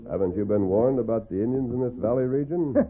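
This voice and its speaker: An actor playing a masked heroic western lawman in 1940s radio style, speaking with firm, earnest, measured authority. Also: mid-range voice, close to an everyday voice. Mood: grave, firm. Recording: tinny, noisy 1940s radio recording.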